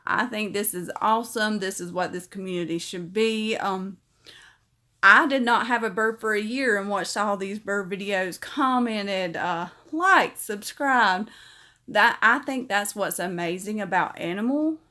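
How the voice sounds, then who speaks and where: A woman's voice: medium pitch, measured pace. Female livestream host, middle-aged, casual and chatty. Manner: warm, chatty, reads comments aloud and answers them. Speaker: livestream host reading viewer comments.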